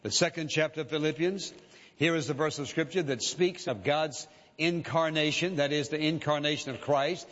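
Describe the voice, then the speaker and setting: A man preaching a sermon as a pastor, measured and emphatic, repeating key phrases for weight. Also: on the high side, fairly bright tone. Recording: dull lo-fi sound with long hall reverb.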